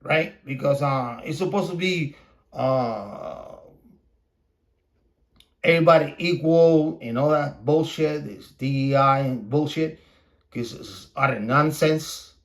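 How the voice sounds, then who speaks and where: A man with a fairly high voice talks at a slow, halting pace. He is a native Spanish speaker giving a blunt, opinionated podcast rant. His delivery is casual and repetitive, peppered with swearing. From Puerto Rico. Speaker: podcast host.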